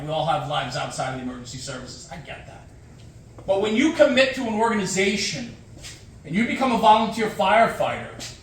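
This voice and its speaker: A man's fairly high voice rings around a very echoey room, picked up well away from the microphone. He delivers a motivational talk emphatically, hammering short phrases and repeating them for weight. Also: fairly bright tone. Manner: emphatic, punchy short phrases with rhetorical questions and repetition. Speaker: guest speaker, firefighter. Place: echoey indoor room, speaking to an audience. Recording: echoey room, distant mic, faint noise.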